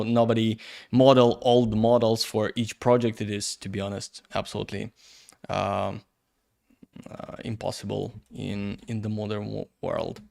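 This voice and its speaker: A man who is not a native English speaker, teaching a software tutorial and thinking aloud while he works, with many fillers and restarts. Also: lowish voice, vocal fry. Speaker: 3D visualization instructor. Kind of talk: screen-recorded software tutorial.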